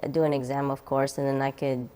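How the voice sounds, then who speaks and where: A middle-aged woman dentist from the Philippines, answering interview questions in a soft, halting way with light laughs. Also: a bass voice, little pitch movement.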